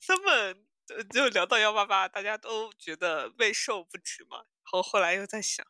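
A woman's voice: medium pitch, animated, moderate pace, bright, forceful tone, dry recording, studio quality. A woman co-hosting a podcast, chatting casually in a giggly, conversational way. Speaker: podcast host. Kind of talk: casual chat.

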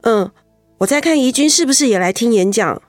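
A woman plays a soft-natured young wife putting on a brave face. The voice is slightly high. The delivery is natural radio drama acting, with faint music at times. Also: expressive, a little fast, close to an everyday voice. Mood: uneasy.

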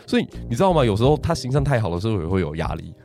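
A man with a Taiwanese Mandarin accent tells a royal history story on a podcast at a brisk clip. His pitch swings widely, and he keeps turning to his listeners with rhetorical questions. Soft music plays faintly underneath. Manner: animated, asks the audience rhetorical questions.

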